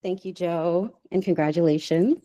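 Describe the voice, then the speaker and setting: Low mellow American woman panelist. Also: normal speed. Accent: American, New Jersey. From Nigeria. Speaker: venture capitalist, panelist. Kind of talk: panel self-introduction.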